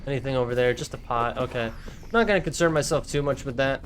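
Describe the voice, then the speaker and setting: A man casually commentating his video game play for viewers, chatty and slightly hoarse from talking all day. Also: medium pitch, fast, background noise. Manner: chatty, addresses viewers as guys, frequent fillers. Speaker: gaming video creator. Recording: game music loud behind voice. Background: game music and combat sounds.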